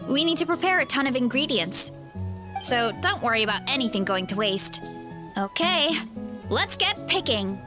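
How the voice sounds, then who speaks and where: A cheerful young woman with a big appetite in a video game, friendly and quick to laugh. Her voice sits high and moves in lively swings. Faint game music hums behind her. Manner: bright and friendly, laughs easily. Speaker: game character showing guests an orchard. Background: soft game music.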